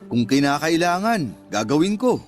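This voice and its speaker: An actor playing a patient, sincere young suitor in a Filipino radio drama. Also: male voice, on the high side, sing-song, normal speed, faint music behind. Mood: wistful.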